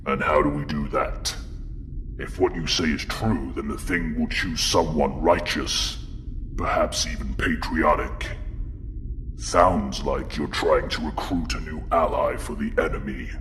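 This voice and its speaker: Man acting a very deep, grim alien lieutenant. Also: steady tone, big-room sound, background noise. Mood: skeptical. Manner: measured, blunt, doubting. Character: grim, skeptical male alien military lieutenant. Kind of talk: audio drama villain acting.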